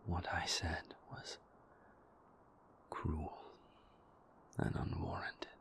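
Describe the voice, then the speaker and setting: An actor playing a remorseful, formal and guarded vampire boyfriend in an intimate roleplay audio, halting and careful, with a breathy, gravelly low voice. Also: male voice, monotone, whispered, heavily acted. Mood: contrite, subdued.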